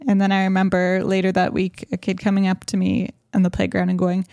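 A woman's voice: mid-range voice, completely flat delivery, a little fast, gravelly, warm tone, no echo. A young adult woman hosting a casual podcast, recapping a film in a chatty way and laughing often. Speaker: podcast host. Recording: dry close-mic podcast sound.

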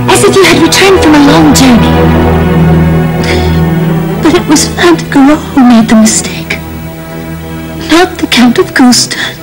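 An actress playing a young princess, the king's daughter, speaking in an earnest, pleading way. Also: sits high. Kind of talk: dubbed fantasy drama.

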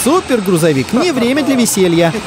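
A woman's voice: a little high, big pitch swings, a little fast. Bubbly woman narrating a kids' cartoon. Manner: cheerful, encouraging, exclamatory, talking to the characters and the kids. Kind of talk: lively scripted narration for young children. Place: voice-over studio. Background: loud cartoon music.

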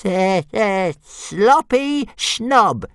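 High-pitched stuttering little cheeky dragon. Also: male voice, animated, extremely slow, fairly bright tone, clean tone, no room sound, clean studio sound, full character voice. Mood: jeering. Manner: stuttering, stretched-out words. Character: cheeky, grumpy little hunting dragon, boastful and taunting. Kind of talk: audiobook character voice.

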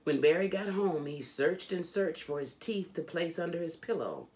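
A middle-aged woman reading a children's book aloud in an expressive, deliberate, emphatic storytelling manner. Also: fairly low pitch. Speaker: storybook reader. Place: indoors, furnished home room.

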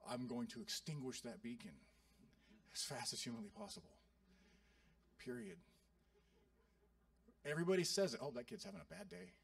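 A male pastor in his thirties giving an animated, joking sermon to his congregation. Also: medium pitch, moderate pace, creaky, long pauses, no room sound, recorded from a distance. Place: church sanctuary.

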